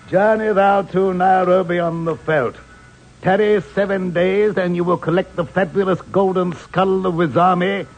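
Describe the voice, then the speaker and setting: Radio-play eccentric: pompous and florid, high voice, slow swooping delivery, theatrical. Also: male voice, gravelly, full character voice. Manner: grandiose, theatrical, mock-archaic. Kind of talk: 1940s radio drama acting.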